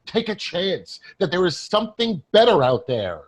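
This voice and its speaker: A sardonic dead friend, acted by a man in a staged reading, exasperated and pushy yet caring. The voice sits fairly high for a man, sounds husky and moves around animatedly. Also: very animated, moderate pace. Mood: sardonic, urging.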